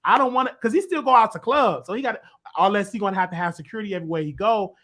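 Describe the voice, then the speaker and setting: Casting: male talk-show host, chatty, agreeable, slightly high voice. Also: rapid-fire, fairly bright tone. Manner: chatty, agreeable, laughs along. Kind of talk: casual chat.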